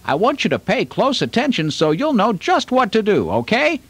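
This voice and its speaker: A man's voice: sits high, very animated, normal speed, background noise. A man playing an earnest children's puppet show host who teaches fire safety directly to young viewers. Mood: earnest, instructive. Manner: instructive, addressing children directly.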